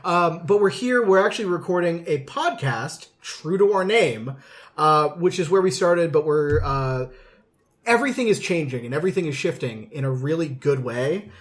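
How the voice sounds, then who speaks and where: A man's voice: fairly high pitch, expressive, normal speed. A man co-hosting a podcast in casual chat, with a jokey, sweary manner. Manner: jokey, sweary, laughs often. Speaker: podcast co-host. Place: indoors in a dining room at home.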